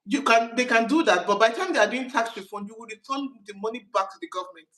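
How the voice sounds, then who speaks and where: A woman's voice: on the high side, fast talker. Brief: middle-aged woman host, easygoing, explains at length. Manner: relaxed, laughs easily, explains at length. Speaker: host asking questions. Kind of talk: casual conversational Q&A.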